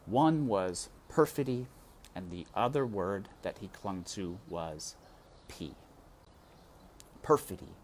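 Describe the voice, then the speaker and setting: A narrator reading a children's story aloud with deliberate, dramatic phrasing. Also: low-pitched, a little hiss or hum. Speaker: storybook reader. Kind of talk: expressive scripted narration, children's read-aloud.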